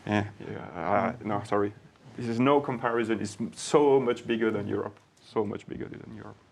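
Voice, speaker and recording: A man's voice: fairly low pitch, fairly quick, gravelly. A man speaking as a panelist in a business webinar, chatty and conversational, often saying "you know". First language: not Portuguese.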